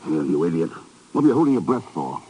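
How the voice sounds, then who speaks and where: An actor playing a cool, commanding secret agent in a 1950s radio drama, speaking in a dry, clipped, self-assured way. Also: male voice, low-pitched, big pitch swings, fast talker, mellow. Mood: tense, commanding. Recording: noisy vintage 1950s radio recording.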